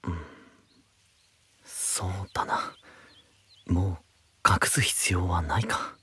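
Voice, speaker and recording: An actor playing a calm, gentle, secretive young knight, speaking in a soft, measured, reflective way in an anime-style audio drama. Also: male voice, deep voice, breathy edge, exaggerated voice. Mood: guarded, gentle.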